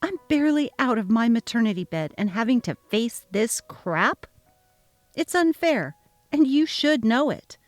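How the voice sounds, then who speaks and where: A woman's voice: a little high, very animated, unhurried, no echo. A woman narrating a story in text-message form, voicing the young mother with indignant expression. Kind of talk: dramatic reading of text messages.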